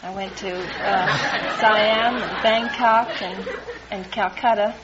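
An American woman in her twenties from New Jersey, answering as a radio quiz contestant in a halting, giggly way. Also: medium pitch, steady tone, over a noisy crowd. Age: 20s. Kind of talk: hesitant interview chat. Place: radio studio before an audience. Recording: muffled vintage radio recording with noise.

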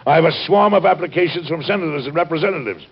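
Stern middle-aged president, husky, formal, measured, weary and principled, dull vintage radio recording. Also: male voice, a little high. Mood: firm indignation. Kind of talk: historical radio drama acting.

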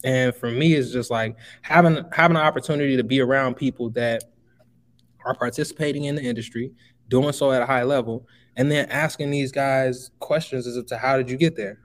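A man's voice: medium pitch, steady tone, fairly quick. Fired-up guy in his 20s from chicago, guest on a podcast, preachy motivational talk, keeps saying bro. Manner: emphatic, motivational, calls the listener bro. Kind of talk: casual podcast interview.

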